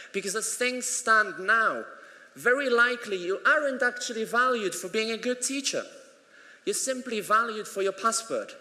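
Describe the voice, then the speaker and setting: High-voiced Polish man giving a keynote. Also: pushed and bright. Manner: emphatic, earnest, with dry humour. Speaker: conference plenary speaker. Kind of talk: conference keynote talk. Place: conference hall. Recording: echoey hall.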